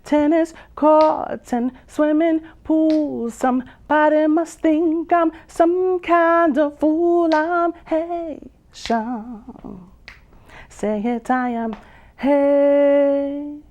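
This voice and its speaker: A woman poet and vocalist performing spoken-word poetry with drawn-out phrases, pauses and hums, some lines half sung. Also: high voice, steady tone, a little mellow. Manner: slow, drawn-out delivery with long pauses and hums. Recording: dull lo-fi sound.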